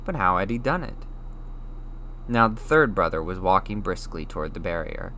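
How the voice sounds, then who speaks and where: A man's voice: low voice, very animated, moderate pace, pushed and bright, slight background noise. A man reading a story aloud as scripted audiobook narration. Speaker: audiobook reader.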